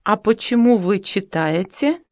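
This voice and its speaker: A woman playing a friendly young female student named Luda in a language-course dialogue, reading in a clear, even way. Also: medium pitch, unhurried, no room sound, studio quality, close to an everyday voice. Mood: plain.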